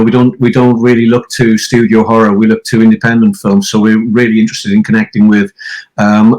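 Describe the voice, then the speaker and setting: A man from Wales, a native Welsh speaker, talks about his work in a loose, rambling interview style. His voice sits at an ordinary middle pitch, and he strings his thoughts together with plenty of fillers. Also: a little mellow, poor audio. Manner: chatty, rambling, many fillers. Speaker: interviewed author and producer. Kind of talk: casual interview talk.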